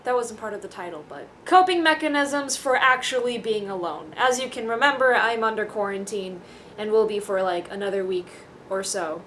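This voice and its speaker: A woman's voice: mid-range voice, normal speed, a bit bright. Young woman w chatting on a vlog, dry self-mocking rambly, bit of room noise behind her. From Taiwan. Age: young adult. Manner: self-deprecating, dryly joking, swears casually. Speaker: vlogger. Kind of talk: casual rambling vlog, talking to camera.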